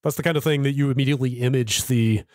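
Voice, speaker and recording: A man's voice: medium pitch, fairly even intonation, very fast, darkish tone, dry recording, clean studio sound. A man co-hosting a casual tech podcast, wry and rambling, who also reads listener emails aloud. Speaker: podcast co-host.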